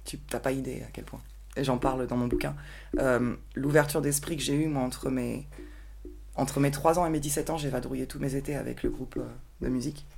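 A woman's voice: a bass voice, fairly even intonation, fairly quick, vocal fry, a little mellow. A woman talking casually and personally as a podcast guest. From grew up between Bordeaux, France and New York.

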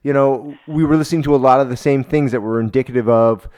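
Male podcast interviewer, forties, Canadian accent, mid-pitched voice, casual and conversational. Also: fast talker, a little mellow. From Toronto, Canada.

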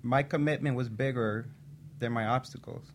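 A man who works as a physician assistant, a guest on a talk show, telling a personal story in an earnest, steady way. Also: mid-range voice, moderate pace.

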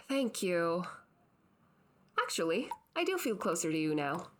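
An actress plays a stressed woman who runs a podcast in an audio drama. Her voice sits fairly low but moves around a lot. She sounds dry and weary. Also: sing-song, takes it slowly, fairly bright tone, slight background noise, exaggerated voice. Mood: softened. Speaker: podcast producer character in a framing skit. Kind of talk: scripted audio drama dialogue.

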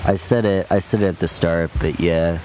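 A man's voice: low voice, fairly even intonation, moderate pace, warm tone, a little hiss or hum, low-quality recording. A man interviewing a musician, chatty and curious, often digressing into stories. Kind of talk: casual interview chat.

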